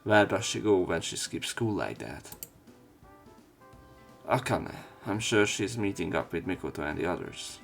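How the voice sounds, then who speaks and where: Low-voiced man reading game story aloud. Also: expressive, unhurried, creaky, faint music behind. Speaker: let's player reading a visual novel. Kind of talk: reading translated game narration and dialogue aloud.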